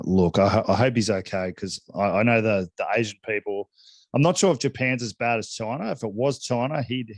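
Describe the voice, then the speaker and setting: A man from Hong Kong co-hosting a sports podcast, chatting casually in a measured, understated way. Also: lowish voice, dry recording.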